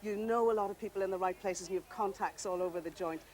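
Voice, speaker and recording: A woman presenting a television piece and interviewing a guest in a brisk, friendly way. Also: medium pitch, darkish tone.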